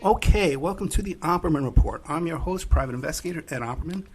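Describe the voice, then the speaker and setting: A man's voice: fairly high pitch, lively intonation, fast talker, loud music behind the voice. A man hosting a podcast as a private investigator, talking loosely with frequent fillers. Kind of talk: casual podcast hosting and ad reads.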